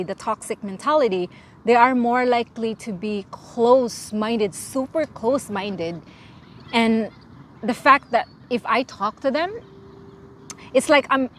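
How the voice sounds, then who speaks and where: A woman's voice: on the high side, a little hiss or hum. A young woman from the Philippines with a Filipino accent, answering interview questions frankly and earnestly, often restarting her words. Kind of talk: casual interview.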